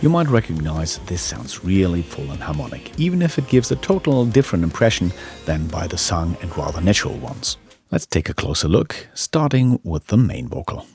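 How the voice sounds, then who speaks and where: Low-voiced man narrating an audio tutorial. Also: sing-song, normal speed, darkish tone, soft background music. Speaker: audio engineering instructor.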